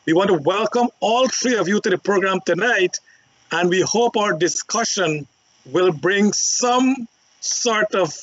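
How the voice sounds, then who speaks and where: A man's voice: high voice, dry recording. A man moderating a panel show, introducing guests in a rambling way with many filler ums. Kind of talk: talk show hosting. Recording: dry, dull lo-fi line.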